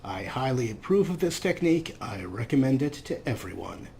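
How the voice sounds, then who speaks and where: A man's voice: medium pitch, big pitch swings, moderate pace, creaky, darkish tone, slight background noise. A man giving casual, self-deprecating gameplay commentary as a let's play host.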